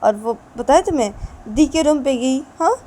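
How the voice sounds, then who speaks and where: A woman voicing an anxious young father who scolds and fusses in a shrill, high voice. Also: fairly even intonation, normal speed, gravelly, mellow, slight background noise. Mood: complaining.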